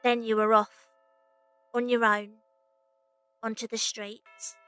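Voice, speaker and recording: Bright-voiced young circus runaway. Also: female voice, a little high, little pitch movement, takes it slowly. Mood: wistful. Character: young runaway circus woman, weary and homesick, plain-spoken. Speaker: narrator and lead character. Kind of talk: audio drama narration and dialogue.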